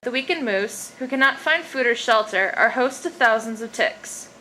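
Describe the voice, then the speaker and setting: A woman reads a scripted explainer. Her tone is bright and sharp, pitched slightly high for a woman. The recording carries some background noise. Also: animated, normal speed. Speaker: narrator. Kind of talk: scripted informational narration. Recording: noisy amateur recording with background ambience.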